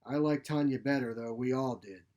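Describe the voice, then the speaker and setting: A feisty old woman, acted out by a male narrator, speaks in a blunt, folksy, grumbling way. She is a sharp-tongued storybook mother who minces nothing. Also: medium pitch, normal speed, lo-fi sound, close to an everyday voice. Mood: blunt, disapproving. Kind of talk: short story character reading.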